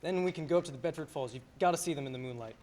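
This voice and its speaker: A man's voice: a little high, very fast, a little mellow, a little hiss or hum, close to an everyday voice. An actor playing a restless, good-hearted young man in a radio play, folksy, hesitant and wry. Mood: eager, dreamy.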